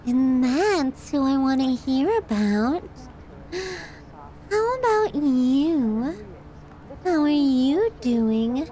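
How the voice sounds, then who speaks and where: A woman's voice: high voice, sing-song, mellow, full character voice, poor audio. A woman playing a possessive, sadistic yandere girlfriend in an ASMR roleplay, cooing and teasing with sweet menace. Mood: dismissive, doting. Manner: cooing, drawn-out, teasing, clingy delivery.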